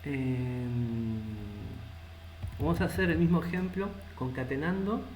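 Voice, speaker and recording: A man's voice: mid-range voice, expressive, slow, faint music behind. A man with a Rioplatense Spanish accent teaching a live coding lesson, thinking aloud as he types. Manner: thinks aloud while typing code. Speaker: programming instructor. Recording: echoey room with loud background noise.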